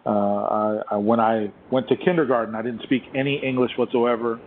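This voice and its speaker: A man from Puerto Rico whose first language is Spanish, hosting an interview and speaking haltingly, often repeating his words. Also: mid-range voice, steady tone. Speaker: host. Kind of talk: interview conversation. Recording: muffled phone line, faint hiss.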